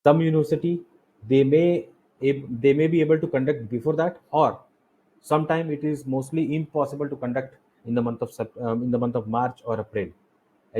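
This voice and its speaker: A man's voice: medium pitch, a little fast, mellow, slightly rough, rough recording. A man with an Indian accent explaining slides as a coaching instructor, repeating key points and asking rhetorical questions. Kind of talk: explanatory lecture over slides.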